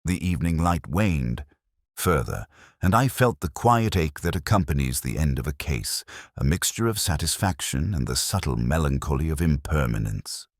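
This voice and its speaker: Male narrator, very low voice with lively intonation, contemplative scripted detective fiction, dry close recording. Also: no room sound. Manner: measured, literary, contemplative. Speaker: audiobook-style narrator. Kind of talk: scripted first-person detective story narration.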